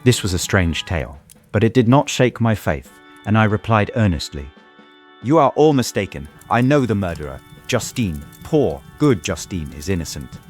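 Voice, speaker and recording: An actor playing a tormented young gentleman who narrates a dramatized audiobook in an earnest, formal manner. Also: fairly low pitch, big pitch swings, moderate pace, faint music behind. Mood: earnest insistence. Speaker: narrator and lead character.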